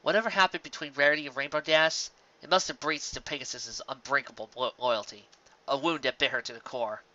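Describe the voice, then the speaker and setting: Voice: medium pitch, steady tone, brisk, pushed and bright, a little hiss or hum. A narrator reading fan fiction aloud on a dull, close recording.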